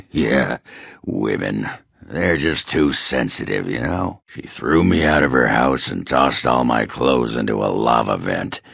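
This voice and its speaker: A crude, middle-aged male dwarf warrior from a fantasy game, with a rock-bottom, husky growl. He drawls slowly and boastfully like a seasoned drinker, swinging widely in pitch. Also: fairly bright tone, no room sound, clean studio sound, full character voice. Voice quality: gravelly, raspy bass. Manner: gruff, drawling, mumbling self-satisfied chuckles. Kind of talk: video game character dialogue.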